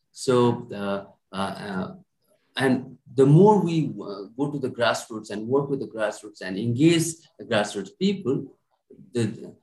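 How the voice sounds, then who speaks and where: Halting man in an echoey room. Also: medium pitch, a little mellow. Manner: halting, with many restarts. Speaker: panelist. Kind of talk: panel discussion answer.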